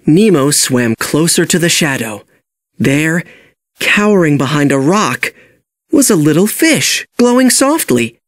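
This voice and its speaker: Animated male children's storybook narrator. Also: a little high, measured pace, no echo, studio quality. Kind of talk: expressive scripted children's read-along narration.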